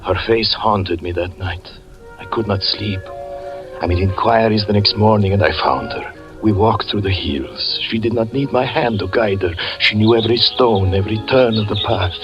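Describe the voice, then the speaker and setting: A deep, low-voiced magician tells his tale in slow, solemn tones, as a man plays him. It comes from a dull, muffled old radio broadcast. Strong music runs beneath him. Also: natural voice. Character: brooding male magician and fortune teller narrating his past. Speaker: narrator. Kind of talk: 1940s radio acting.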